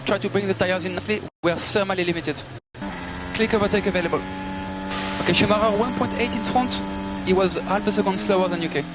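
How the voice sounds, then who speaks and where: A high-pitched male race engineer talks over team radio with very loud car noise behind him. He gives clipped, procedural updates and often repeats his instructions. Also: quick pace.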